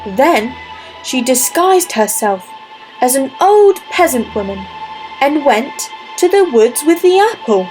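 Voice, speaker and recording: A woman narrates a classic fairy tale for children in a slow, careful, gentle reading. Her voice sits high and the pace stays unhurried throughout. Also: sing-song, soft background music, low-quality recording. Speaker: storyteller. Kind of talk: scripted children's story narration.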